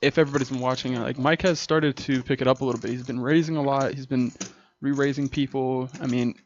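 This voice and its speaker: A man's voice: medium pitch, steady tone, fast. A man casually commentating a live poker stream with a co-host, laughing often and rambling a little. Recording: dull stream audio with faint hiss.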